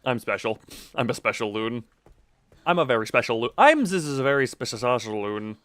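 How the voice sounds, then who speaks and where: Man, video game streamer, medium pitch, lively swinging intonation, casual commentary, rambling, playful, self-deprecating. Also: normal speed, no room sound. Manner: rambling, self-interrupting, thinking aloud. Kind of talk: casual live gameplay commentary.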